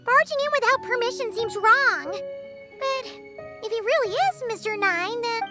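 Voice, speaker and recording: A woman's voice: very high-pitched, lively intonation, normal speed. A woman voicing a small childlike fairy companion in a video game, chatty, blunt and full of exclamations. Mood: puzzled. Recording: loud playful music behind the voice.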